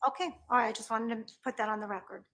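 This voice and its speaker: A woman presiding as judge in a courtroom, speaking in a measured, procedural and courteous way. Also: on the high side, a bit bright.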